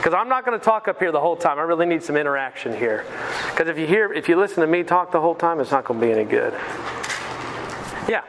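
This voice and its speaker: A chatty, self-deprecating man in his thirties, from Pensacola, gives a casual finance seminar. His voice sits a little on the high side, and he keeps asking the room questions. Faint room noise sits behind him. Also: big pitch swings, quick pace. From Pensacola, Florida. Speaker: mortgage sales manager giving a seminar. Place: lecture room.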